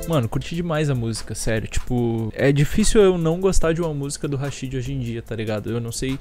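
A man's voice: mid-range voice, animated, fast. Male, 20s, Brazilian accent. Informal music reviewer, slangy and conversational, mid-range voice, home studio mic, faint music. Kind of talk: casual commentary. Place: small home bedroom studio. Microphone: close desk-mounted condenser microphone.

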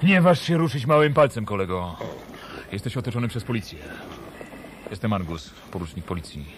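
Curt police lieutenant played by an actor, brisk and commanding, lowish voice, hissy old tape. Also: male voice, animated, close to an everyday voice. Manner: commanding, fast and formal.

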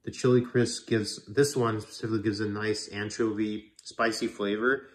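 A man's voice: fairly low pitch, flat delivery, normal speed. Male food vlogger, congested from a cold, chatty and self-correcting, filmed at home in the kitchen. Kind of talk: casual cooking vlog.

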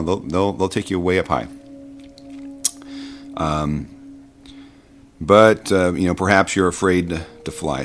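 A man giving an informal explanatory voice-over, conversational and halting, patiently reasoning through his points. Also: low-pitched. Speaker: video narrator explaining science.

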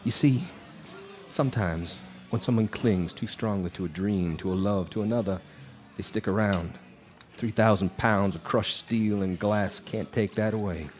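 An actor playing a haunted, world-weary bar singer, brooding and halting, in a live radio drama. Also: male voice, fairly low pitch, a little mellow, lightly acted.

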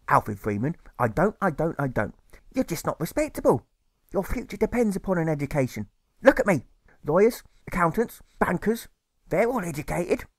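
A fretful, doting old mother played by a man nags in a high, swooping voice. She is theatrical and insistent, repeating herself as an audiobook character in a dry, close recording. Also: no room sound, exaggerated voice. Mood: nagging, exasperated. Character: fretful, doting, nagging working-class old English mother.

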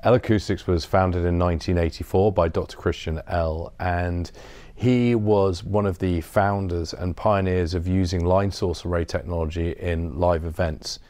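A man in his thirties with a deep voice gives an informal, explanatory product tour. The sound is very dry, from an acoustically treated showroom. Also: steady tone, moderate pace, a little mellow, no room sound. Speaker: business development director giving a showroom tour.

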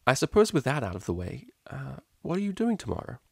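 A man's voice: mid-range voice, huge pitch swings, brisk, vocal fry, husky, dry recording, clean studio sound, voice pushed up, exaggerated voice. A man voicing a proper, awkward young female pony cellist who speaks in a polite, formal, hesitant way.

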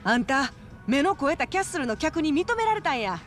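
A woman's voice: high-pitched, a little fast, soft background music. A woman voicing a brash, coaxing female fight promoter with a Kansai accent in a video game. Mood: brisk, persuasive. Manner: animated, coaxing, emphatic. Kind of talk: video game cutscene acting.

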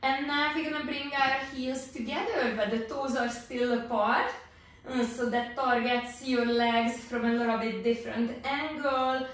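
A woman in her forties guides exercises in a steady, unhurried voice, pausing on fillers. Her voice bounces around a very echoey home studio and sounds far from the camera. Her pitch sits in a plain middle range. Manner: calm, unhurried cueing with many fillers. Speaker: pilates instructor.

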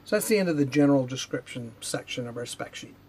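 A man in his fifties giving an unhurried technical explanation to camera in a measured, matter-of-fact way, with a slightly husky voice. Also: medium pitch, lively intonation, a little mellow, slight background noise. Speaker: presenter, loudspeaker R&D manager. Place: indoor video studio with whiteboard.

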